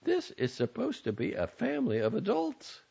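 A nagging, fretful middle-aged mother with a raised, swooping voice, as a male reader puts her on. She scolds in a husky, slightly gravelly tone that leaps up high. The recording sounds dull and lo-fi. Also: wildly swooping pitch, moderate pace, dark tone, exaggerated voice. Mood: scolding. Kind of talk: audiobook character voice.

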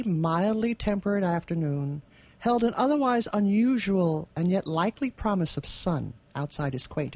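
A woman co-hosting a radio theatre show, chatting casually and reading literary prose in a measured, expressive way. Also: deep voice.

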